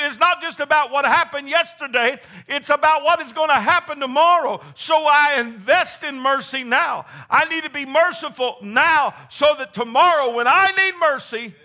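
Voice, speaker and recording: High, bright-voiced preaching man. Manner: preaching with rising fervor, exclamations and call-and-response. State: voice strained from preaching. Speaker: pastor. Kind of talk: sermon.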